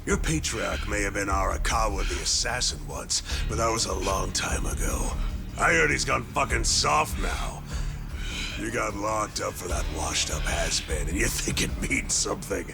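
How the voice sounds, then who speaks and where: A man's voice: lowish voice, moderate pace, creaky, over music. An actor playing a cruel male prison bully who sneers, taunts and swears, in a video game dub. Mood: mocking.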